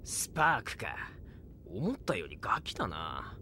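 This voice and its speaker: An actor playing a snide, gossipy young knight candidate in an anime audio drama. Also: male voice, on the low side, huge pitch swings, measured pace, a little hiss or hum, heavily acted. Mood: sneering. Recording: loud music behind.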